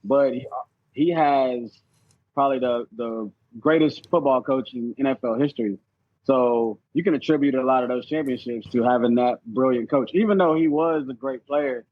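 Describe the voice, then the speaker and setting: A man's voice: medium pitch, moderate pace, dry recording, phone-quality sound. A man as a podcast guest in a casual sports debate, arguing his points with teasing emphasis.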